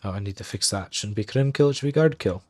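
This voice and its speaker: Guy doing a put-on irish accent on a game stream, lowish voice, dry close mic, keyboard clacking. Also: expressive, fast talker, no echo. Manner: thinks aloud, muttering commands while typing. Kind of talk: casual game livestream tutorial.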